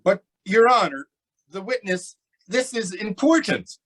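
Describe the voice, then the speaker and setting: Earnest radio-play prosecutor acted by a man, high voice, slow and exasperated. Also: animated, fairly bright tone, slightly rough, soft background music, exaggerated voice. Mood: protesting. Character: earnest, exasperated district attorney. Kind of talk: old-time radio drama acting.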